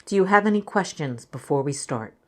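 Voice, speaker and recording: A woman playing a clinician, asking scripted interview questions in a measured, neutral way. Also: deep voice, lively intonation, a little mellow. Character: measured female clinician giving a structured interview.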